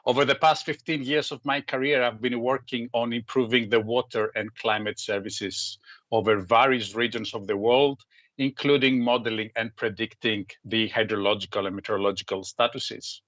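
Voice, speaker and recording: A man's voice: mid-range voice, steady tone, normal speed, a bit bright, no room sound. Give me an earnest man from Greece introducing himself, with dry, muffled call audio. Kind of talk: prepared self-introduction. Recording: dull lo-fi sound.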